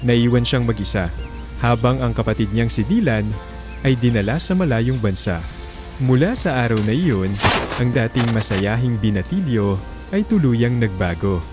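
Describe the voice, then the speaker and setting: Filipino male host-narrator, mid-pitched, grave and measured dramatic storytelling with music underneath. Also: lively intonation. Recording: music bed behind the voice.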